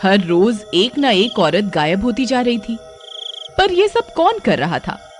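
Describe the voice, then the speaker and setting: A woman narrates a story in a dramatic, scripted way. Her voice sits at an average female pitch, with soft music behind it. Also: sing-song, slow.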